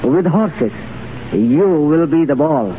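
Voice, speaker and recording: An actor playing a mocking, menacing desert sheikh with taunting, deliberate delivery in 1930s radio villain style. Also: male voice, fairly high pitch, animated, in a large hall. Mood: gloating. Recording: noisy muffled 1930s radio, shouting crowd behind.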